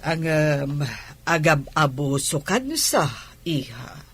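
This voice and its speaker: A woman's voice: sits low, slow pace, fairly bright tone, breathy edge, heavily acted. A woman with a Filipino accent playing a nosy, doting middle-aged village mother who teases and exclaims in a radio comedy drama. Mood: knowing, chiding.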